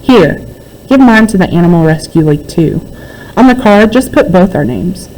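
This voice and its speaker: A woman voicing an excitable, friendly young schoolgirl in a storybook, eager and full of exclamations. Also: fairly low pitch, normal speed, a little hiss or hum, close to an everyday voice, low-quality recording. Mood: eager, generous.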